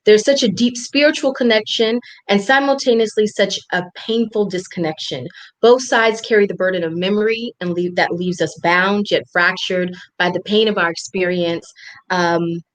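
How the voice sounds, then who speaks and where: A woman's voice: medium pitch, poor audio. A young woman hosting a live interview over a video call, earnest and reflective. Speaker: host. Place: indoor room at home.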